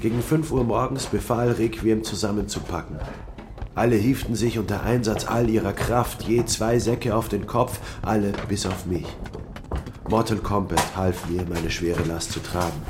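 A man's voice: on the low side, steady tone, normal speed, noisy background. A man giving a dramatic scripted literary narration as the story's narrator.

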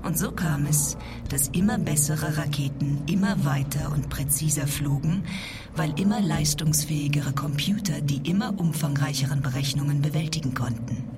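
A woman playing a computer's younger lecture voice, giving measured, explanatory narration in a radio play. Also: low voice, close to an everyday voice. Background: loud music.

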